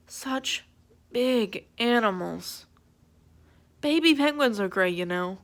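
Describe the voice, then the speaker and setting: A woman's voice: fairly high pitch, fairly bright tone, exaggerated voice. A woman voicing a tired gray crayon in a children's storybook, weary and pleading. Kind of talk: children's storybook read-aloud.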